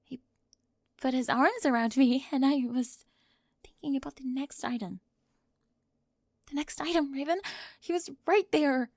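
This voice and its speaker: A woman performs a high-strung teenage cheerleader girl in an ASMR roleplay. Her voice is high with plenty of fry, recorded very dry. She is defensive and emphatic, slipping into sighs and breathy whispers as she gets flustered. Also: unhurried, pauses often, no echo. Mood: upset, confessing. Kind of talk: ASMR audio roleplay acting.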